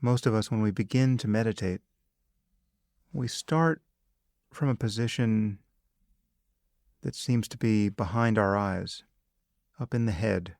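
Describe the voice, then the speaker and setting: A man guides a meditation in a low, gravelly voice. He speaks very slowly and calmly, with long pauses between phrases. The recording is dry and close, with no room sound. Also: no echo. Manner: calm, measured instructions with long pauses.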